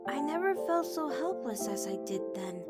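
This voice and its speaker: Woman voicing an anguished, very high-pitched teenage girl. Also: very animated, loud music behind the voice. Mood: haunted, subdued. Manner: dramatic, halting, pleading delivery. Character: anguished teenage girl, guilt-ridden, devoted to girlfriend. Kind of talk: emotional animated-series fan comic dub.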